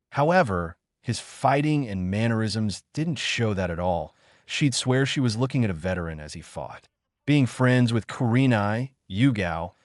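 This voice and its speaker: Low-voiced man narrating a story. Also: dry recording, clean studio sound. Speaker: narrator of fan fiction story videos. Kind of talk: scripted story narration reading dialogue and action.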